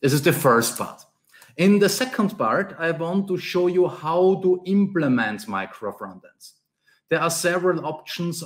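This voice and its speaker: Male conference presenter, Austrian accent, German native, steady mid pitch, explanatory and a bit repetitive. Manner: explanatory, repeats key phrases, non-native phrasing. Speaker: conference speaker, Angular trainer and consultant. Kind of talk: conference talk.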